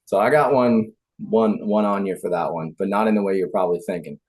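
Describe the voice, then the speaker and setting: A man's voice: on the low side, brisk, darkish tone. A man who is a podcast guest, chatting casually and poking fun at himself. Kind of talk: casual chat.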